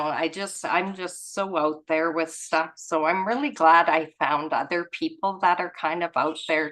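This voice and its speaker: A woman's voice: low voice, a bit bright, poor audio. A woman leading a spiritual study group, reading aloud and commenting casually in an earnest, reflective way.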